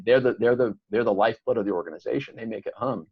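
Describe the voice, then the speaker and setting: Fast-talking low-voiced man. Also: breathy edge, no room sound, highs cut off. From Chicago. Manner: fast, rambling, many fillers and restarts. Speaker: podcast guest, entrepreneur. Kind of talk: casual interview conversation.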